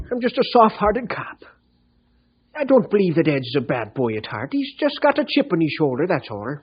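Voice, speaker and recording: A soft-hearted Irish beat cop in an old radio play. The voice swings widely with a folksy brogue and has a rough edge. The recording is dull and aged. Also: male voice, high voice, full character voice. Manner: folksy brogue, gently weary. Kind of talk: old-time radio drama acting.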